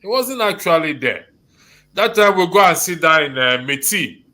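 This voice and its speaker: Bright-voiced Nigerian man hosting a discussion. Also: a little high, lively intonation. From Lagos, Nigeria. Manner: halting, repeats phrases for emphasis. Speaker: host of a diaspora discussion show. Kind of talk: moderating a panel discussion.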